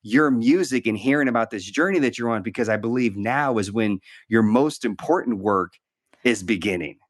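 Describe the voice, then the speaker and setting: An earnest man hosting a sit-down conversation, reflective and sincere, stacking repeated phrases to drive a point home. His voice sits at an ordinary middle pitch. The sound is dry and close, with no room around it. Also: moderate pace, no room sound. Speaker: podcast host. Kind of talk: conversational interview.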